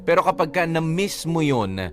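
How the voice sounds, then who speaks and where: A man's voice: a little high, sing-song, moderate pace, over music. A Filipino man co-hosting a talk show, chatting casually with teasing, conversational interjections. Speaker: radio show co-host. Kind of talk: casual bantering talk, Tagalog-English mix.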